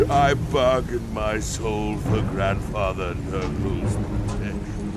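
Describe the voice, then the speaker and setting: A contemptuous, corrupt villain lord from a fantasy game. He speaks slowly and theatrically in a gritty, somewhat high voice, with music behind him. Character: sneering corrupted lord devoted to a plague god. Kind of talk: video game villain acting.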